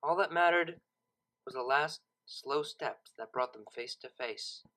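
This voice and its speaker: A narrator reading a scripted story expressively, with dramatic, deliberate phrasing. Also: medium pitch, pushed and bright. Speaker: narrator, audiobook reader.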